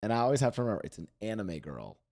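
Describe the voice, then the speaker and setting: Fast-talking low-voiced male host. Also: big pitch swings, darkish tone. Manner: quick, playful, jokey asides. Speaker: podcast host. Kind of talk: casual podcast chat.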